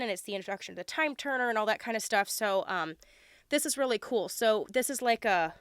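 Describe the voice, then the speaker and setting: A young woman with a hoarse, congested voice chats her way through an unboxing, full of ums and little gushes of delight. Under the sickness her voice stays smooth and even. The recording is close and dry. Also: mid-range voice, no room sound. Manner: chatty, lots of ums, gushing over items. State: hoarse and congested from being sick. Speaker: unboxing vlogger. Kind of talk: casual unboxing chat.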